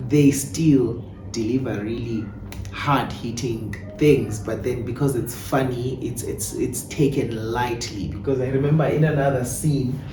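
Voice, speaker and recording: A South African man giving conversational interview answers as a playwright and director, telling things in an animated storytelling way. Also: mid-range voice, a little slow. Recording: echoey room, distant mic, clear background noise.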